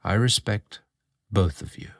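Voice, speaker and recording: A man voicing a dignified older lord, stern yet kind and deliberate, in an audio story. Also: on the low side, gravelly. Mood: solemn, accepting. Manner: measured, softly stern, deliberate. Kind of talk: audio storybook dramatic reading.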